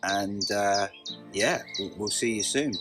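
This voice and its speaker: A man's voice: lowish voice, slow, over music. A man with a London accent co-hosting a fishing channel, chatting in an easygoing, joking way outdoors by a lake. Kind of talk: casual chat. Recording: outdoor recording with loud birdsong. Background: loud birdsong.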